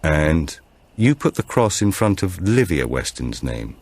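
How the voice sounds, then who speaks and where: A man's voice: sits low, very animated, measured pace, darkish tone, husky, full character voice. A man reading as a blunt, persistent policewoman, direct and insistent in questioning.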